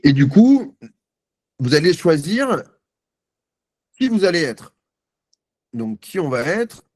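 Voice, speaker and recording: A man giving a live software demo on a video call, chatty and self-mocking, thinking aloud with many fillers. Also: on the high side, very animated, slow, no room sound, clean studio sound. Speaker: guest presenter.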